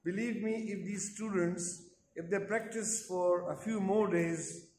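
A middle-aged man addressing a college hall after auditions, high-pitched, halting, with echo around him. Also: fairly even intonation, darkish tone. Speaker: teacher addressing audition audience. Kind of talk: informal closing remarks. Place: college hall stage, indoors. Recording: echoey hall with faint noise.

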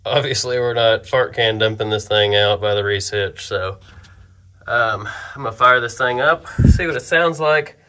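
A man's voice: medium pitch, normal speed. Regular guy chatting in his garage while wrenching on a truck, easygoing, kinda rambly. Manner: relaxed, rambling, talks while working. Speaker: shop owner working on a truck. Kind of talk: casual shop vlog narration.

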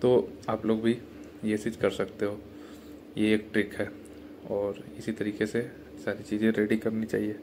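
A man's voice: fairly low pitch, level tone, a little slow, a little mellow. A young man, chatting casually to viewers while cooking in a small home kitchen. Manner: chatty, addresses viewers as brother. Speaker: cooking vlogger. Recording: echoey room with faint ambient noise.

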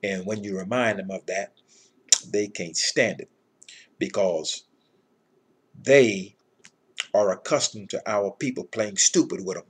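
A middle-aged man with a husky voice giving an informal scripture lesson in an emphatic, admonishing manner. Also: lowish voice, a little slow, long pauses. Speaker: Bible teacher.

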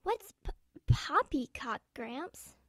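A woman playing an excitable, curious young boy in a radio drama. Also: sits high, slow pace, no room sound, clean studio sound, full character voice.

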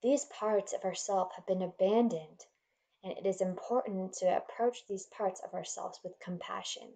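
A woman leading a guided meditation in a gentle, soothing, measured manner, leaving pauses between instructions. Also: medium pitch, creaky.

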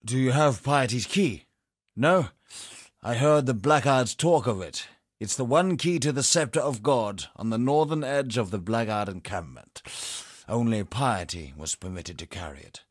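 An actor voicing a male poet ally in a dark fantasy game, speaking with measured, solemn gravity. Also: medium pitch, lively intonation, slightly rough, no room sound, clean studio sound. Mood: grave. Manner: measured, grave, solemn delivery. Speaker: game character. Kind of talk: studio game voice acting. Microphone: close studio mic.

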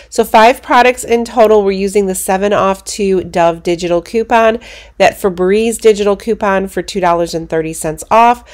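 A congested woman hosting a coupon channel, narrating a screen walkthrough of shopping deals in a brisk, practical way. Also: fairly low pitch, darkish tone. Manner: explains steps in a brisk, practical way.

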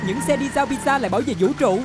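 A woman's voice: on the high side, very fast, heavy background noise, close to an everyday voice. A woman voicing a teasing, nosy child in a dubbed cartoon, mocking and questioning. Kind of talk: Vietnamese cartoon dub.

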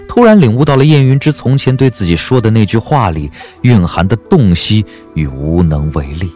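A man narrating an audiobook drama in a measured, dramatic storytelling manner. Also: on the low side, lively intonation, mellow, faint music behind.

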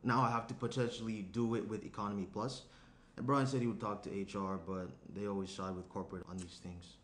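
A male actor plays a self-absorbed young businessman in a relationship drama. His voice sits low, casual and a little grumbly. It is recorded in a roomy space with noticeable noise. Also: little pitch movement, a little fast, fairly bright tone, natural voice. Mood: grumbling. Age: young adult. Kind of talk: screenplay table read. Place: small room at a table. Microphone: shared tabletop microphone. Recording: roomy indoor sound, clear background noise.

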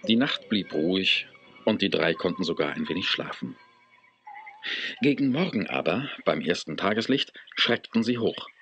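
A man's voice: deep voice, expressive, normal speed, music in the background. A man giving scripted narration for a children's audio story.

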